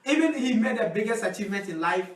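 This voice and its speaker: High-pitched African-accented man debating in echoey room. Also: animated, quick pace. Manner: argumentative, interjecting. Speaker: talk show panelist. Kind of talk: casual debate. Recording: echoey room, distant microphone.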